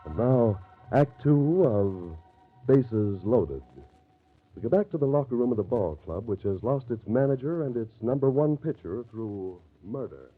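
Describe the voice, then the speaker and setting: A wry, macabre male host introduces a 1940s radio mystery. His husky voice moves widely in pitch, on a dull lo-fi recording. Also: animated, unhurried, faint music behind. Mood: announcing.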